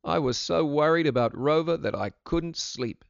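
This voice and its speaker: Man voicing a plaintive homesick orphan boy, raised pitch. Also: lively intonation, normal speed, creaky, smooth, no echo, lo-fi sound. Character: homesick, earnest runaway orphan country boy.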